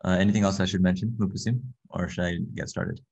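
A young man from Canada giving an online workshop lecture in a soft-spoken, hesitant way, with many fillers and repeated words, over a laptop webcam. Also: low-pitched, fairly even intonation, quick pace, vocal fry, breathy edge. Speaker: workshop presenter. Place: small room with a window, indoors. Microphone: laptop webcam on a video call. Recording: dull lo-fi video call audio.

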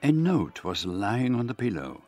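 An actor playing a precise, fussy older detective, narrating and speaking in a measured, formal way. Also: male voice, medium pitch, very animated, a little mellow, faint music behind. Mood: wry. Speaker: narrator and lead character. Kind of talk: radio drama narration.

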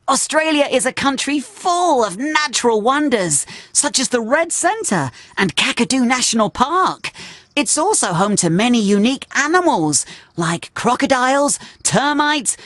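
Lively, cheerful young boy steam engine. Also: a little high, no echo. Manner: earnest, expressive storytelling to children. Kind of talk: children's cartoon game voice acting.

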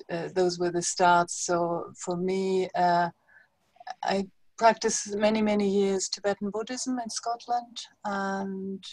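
A woman's voice: mid-range voice, a little mellow, dry recording, low-quality recording. A woman introducing herself on a video call in a rambling way, laughing easily. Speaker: participant in a women's circle.

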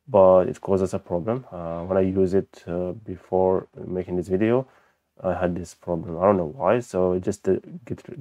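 A man who speaks as a gives an unscripted software walkthrough, haltingly thinking aloud as he demonstrates. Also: deep voice, no echo. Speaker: software tutorial presenter. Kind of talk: unscripted screen-recorded tutorial walkthrough. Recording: dull, lo-fi close microphone.

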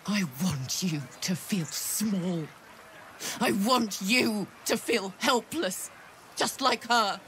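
A woman's voice: medium pitch, takes it slowly, fairly bright tone, a little hiss or hum. A woman playing a bitter, vengeful grieving mother in a video game, cold and resolute. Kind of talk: video game character dialogue.

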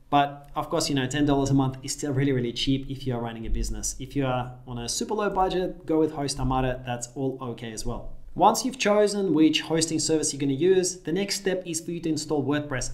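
A man in his thirties from Russia, a Russian native speaker, giving an upbeat screen-share tutorial in a small room. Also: medium pitch. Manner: upbeat, direct instructional talk. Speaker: affiliate marketing tutor.

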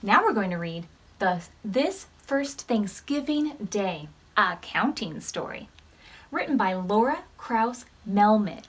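A woman's voice: mid-range voice, breathy edge. An American woman in her thirties leading storytime as a children's librarian, reading aloud expressively to preschoolers and putting questions to them. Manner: expressive, measured reading with questions to young listeners.

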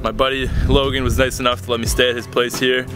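A young man in his twenties vlogging casually to a handheld camera outdoors, excited and swearing often. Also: medium pitch, fast, loud music behind the voice. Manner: excited, swears a lot, talks straight to camera. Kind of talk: casual travel vlog. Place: outdoors at a beach and in a truck. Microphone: handheld camera at arm's length.

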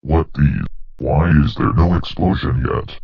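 Man as a very deep, gravelly cartoon villain. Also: unhurried, dull audio, full character voice. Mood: impatient. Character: gleeful green-faced troublemaking villain.

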